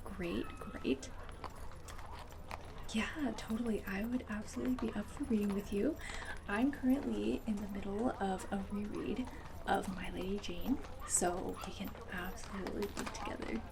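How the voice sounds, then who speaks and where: A woman doing a soft-spoken ASMR roleplay as a host, breathy and partly whispered, with a gentle, friendly manner. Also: medium pitch, steady tone, normal speed, background noise.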